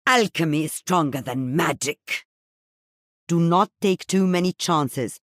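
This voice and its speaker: An actress voicing a stern, guarded alchemist hero in a video game, speaking in a grave, cautioning way. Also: female voice, lowish voice, measured pace, no echo, clean studio sound, lightly acted. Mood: grave, resolute. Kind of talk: video game character voice lines.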